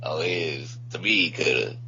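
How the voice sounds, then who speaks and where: A man's voice: a bass voice, moderate pace, bright, forceful tone. A man co-hosting a casual music podcast, talking in a rambling, slangy, profane way. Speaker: podcast co-host. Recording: muffled lo-fi podcast audio with hum.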